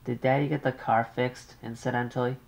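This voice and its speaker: Male narrator playing a fretful middle-aged mother, pitched high and loud, insistent and pushy. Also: little pitch movement, brisk, creaky, lightly acted. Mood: anxious. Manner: worried, insistent, interrupting.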